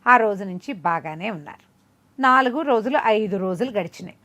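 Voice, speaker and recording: A woman telling a folk tale in an animated, conversational way, with explanatory asides. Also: mid-range voice, normal speed. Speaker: storyteller.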